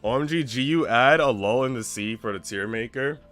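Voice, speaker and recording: A man's voice: medium pitch, a little fast, a bit bright, faint music behind. A man streaming a game, chatting casually with slang and swearing and at times reading story narration aloud. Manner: informal, slangy, profane. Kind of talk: casual chat mixed with reading visual novel narration aloud.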